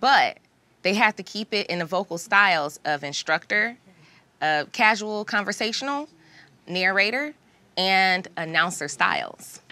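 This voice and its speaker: A woman leading a lively workshop as host, explaining tasks to a group in a studio. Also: mid-range voice, unhurried. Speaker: host and vocal coach. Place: small podcast studio with a group.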